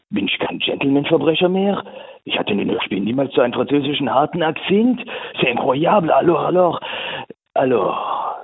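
A man leaving a voicemail, voicing a suave male French gentleman thief with a put-on French accent, theatrical and flowery. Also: mid-range voice, husky, narrow, tinny audio. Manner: theatrical, flowery, halting over words. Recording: phone voicemail.

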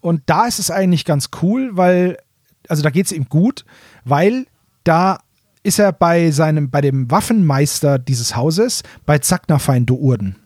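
Male podcast co-host, fairly high voice, dry sound, loose colloquial explainer who corrects himself. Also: expressive, unhurried, a little mellow, dry recording. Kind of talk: casual chat retelling a story.

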